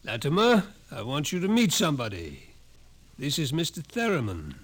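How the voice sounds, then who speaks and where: An actor in a classic radio drama playing a grim, authoritative doctor who lectures with ominous emphasis. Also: male voice, fairly high pitch, huge pitch swings, normal speed, breathy edge, exaggerated voice. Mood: grave. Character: grim, authoritative male doctor of a locked ward. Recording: old radio recording with faint noise.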